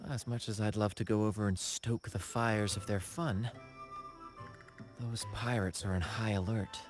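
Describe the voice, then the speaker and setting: A man's voice: lowish voice, close to an everyday voice. A man voicing a video game's flamboyant young troupe leader, playful and gallant, with theatrical, flowery phrasing. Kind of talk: video game voice acting. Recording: game music behind the voice.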